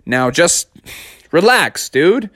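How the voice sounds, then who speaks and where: Man putting on an emphatic, high-voiced father bear. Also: very animated, slow pace, a bit bright, no room sound, studio quality, exaggerated voice. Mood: calming, stern. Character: courteous, bossy father bear keeping kids in line.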